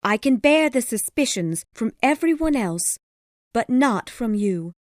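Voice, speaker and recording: Man doing an emphatic, high-pitched dramatic woman. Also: sing-song, measured pace, no room sound, studio quality, exaggerated voice. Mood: bitter, proud. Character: anxious, proud women: a pleading mother, a bitter beloved.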